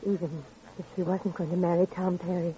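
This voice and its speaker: A woman's voice: lowish voice, level tone, brisk, warm tone, lightly acted. A frail, unhinged spinster, played by a woman in an old radio drama. Her speech is brittle and evasive, with an eerie menace beneath it, and the recording is muffled with a little noise. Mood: defensive, unhinged. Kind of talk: 1940s-50s radio drama acting.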